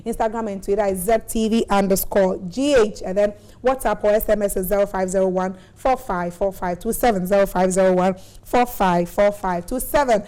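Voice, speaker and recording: A woman's voice: medium pitch, fairly quick, few pauses, dark tone. Ghanaian woman hosting a tv talk show. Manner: chatty, with frequent murmured agreement. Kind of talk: hosting a studio discussion.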